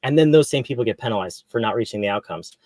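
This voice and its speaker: A man hosting an interview, asking questions in a relaxed, curious way with frequent restarts. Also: medium pitch, quick pace, no room sound. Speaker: podcast host. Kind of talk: conversational interview.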